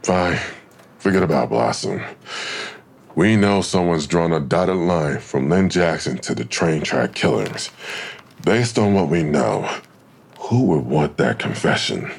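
An actor playing a probing, suspicious police detective in an audio drama, with a gravelly voice and a dry delivery. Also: male voice, very deep voice, lively intonation, unhurried, exaggerated voice. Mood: dry, insistent. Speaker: actor in a fiction podcast. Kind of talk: naturalistic audio drama acting.

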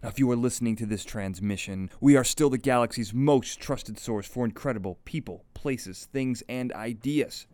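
A man's voice: medium pitch, moderate pace, hardly any pauses, darkish tone. A man hosting an interview podcast, effusive and conversational, with a theatrical flourish. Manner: effusive, theatrical list-like delivery in introductions. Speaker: podcast host. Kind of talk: upbeat conversational interview show.

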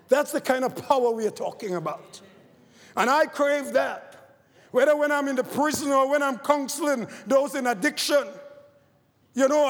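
High-voiced Barbadian preacher in a reverberant hall. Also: male voice. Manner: reads scripture quietly, then rises to fervent shouted preaching. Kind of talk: sermon.

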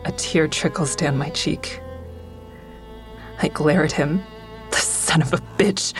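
A woman's voice: sits low, slow pace. An actress playing a bitter, distraught woman who narrates her own police questioning in a raw, profane way. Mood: anguished, bitter. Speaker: narrator and lead character in an audio drama. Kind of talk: first-person dramatic narration and dialogue. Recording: music under the voice.

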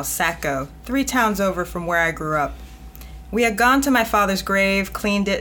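A woman's voice: on the low side, a little hiss or hum. A woman editor on a podcast, talking conversationally and reading a passage aloud. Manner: conversational, laughs often, expressive. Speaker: editor and guest.

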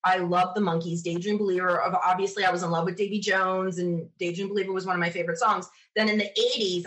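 A woman's voice: on the low side, fairly bright tone, poor audio. Chatty, opinionated middle-aged woman. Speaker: podcast guest being interviewed. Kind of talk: casual conversational storytelling.

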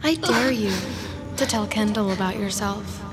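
A woman's voice: medium pitch, normal speed, close to an everyday voice. An actress playing a jealous, possessive woman in an audio drama, taunting and challenging. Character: jealous, taunting female companion, needling and possessive.

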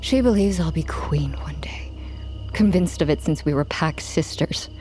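A woman's voice: sits low, normal speed, mellow, whispered, faint music behind, lightly acted. Woman acting a proud, wounded young warrior woman. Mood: bitter, despairing. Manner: intense, formal archaic phrasing. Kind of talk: dramatic fantasy audio drama acting.

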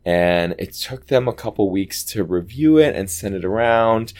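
Deep-voiced, chatty man. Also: normal speed. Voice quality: low voice. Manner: animated, chatty, acts out quoted dialogue. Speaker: Broadway actor guest. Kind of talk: casual interview storytelling.

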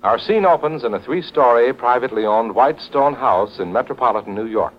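A man delivers theatrical scripted narration as a 1940s radio announcer. His mid-pitched voice swings dramatically over prominent music on a muffled vintage recording. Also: animated, a little fast. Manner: theatrical, emphatic.

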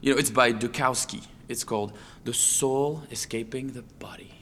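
An actor playing a controlling, fussy fiancé, smug and coaxing in an audio drama. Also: male voice, medium pitch, steady tone, normal speed, a bit bright, slight background noise, close to an everyday voice. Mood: smug, proud. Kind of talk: naturalistic audio drama acting.

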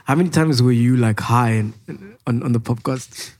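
A man's voice: mid-range voice, normal speed, a little mellow, slight background noise. A young man hosting a podcast, chatting casually and teasing, often laughing and saying "bro". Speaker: podcast host.

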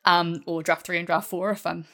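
Bright, lower-pitched woman chatting casually. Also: little pitch movement, normal speed. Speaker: guest writer on a podcast. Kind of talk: casual interview chat.

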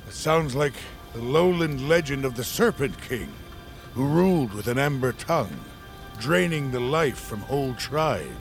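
An actor playing a humble, deferential lowland storyteller serving a young master in a fantasy audio drama, with formal, old-fashioned phrasing. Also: male voice, lively intonation, unhurried. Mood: storytelling, grave. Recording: music under the voice.

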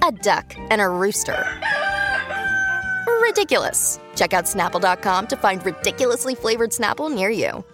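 A woman's voice: mid-range voice, very animated, normal speed. A woman announcer delivering a playful scripted advertisement. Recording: loud music under advertisement.

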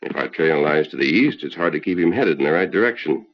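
A man's voice: extremely low pitch, lively intonation, husky, heavily acted. An actor playing a heroic masked cowboy lawman, firm, earnest and commanding, in old-time radio western style. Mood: concerned, gentle. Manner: firm, earnest, measured delivery. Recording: muffled vintage radio recording.

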